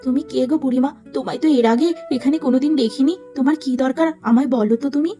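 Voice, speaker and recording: A woman voicing a humble, long-suffering poor young woman in a cartoon, gentle and plaintive. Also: high voice, fast talker, soft background music, natural voice. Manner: plaintive, pleading.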